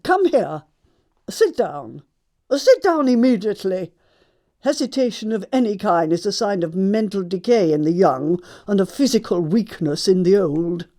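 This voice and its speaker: An actress playing a haughty, imperious older aristocratic aunt in a stage comedy, pompous and deliberate. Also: female voice, fairly low pitch, dark tone. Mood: commanding. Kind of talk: theatrical stage comedy acting.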